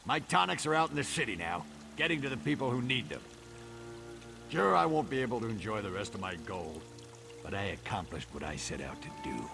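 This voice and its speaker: An actor playing a shifty orc tonic peddler in a video game, smooth-talking and blustering, self-righteous and quick to defend himself. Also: male voice, on the low side, very animated, over music. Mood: bitter, resigned. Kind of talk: video game character acting.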